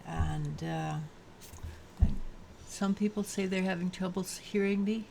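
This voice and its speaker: Woman tarot reader, low mellow voice, flat delivery, halting. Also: gravelly, a little hiss or hum. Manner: halting, many restarts and fillers. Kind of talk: daily tarot reading talk.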